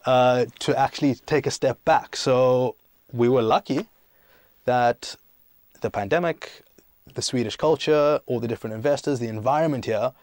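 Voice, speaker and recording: Young male startup CEO as podcast guest, medium pitch, emphatic explainer, conversational, dry recording. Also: moderate pace, a little mellow, dry recording. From South Africa and Greece. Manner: emphatic, explanatory, poses and answers own questions. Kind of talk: conversational interview answers.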